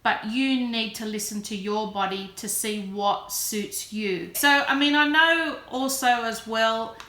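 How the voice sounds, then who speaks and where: A woman's voice: on the high side, measured pace, pushed and bright. A woman in her early forties talking casually and candidly in a chatty, self-deprecating personal vlog. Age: early 40s. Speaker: vlogger. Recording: roomy echo, faint background noise.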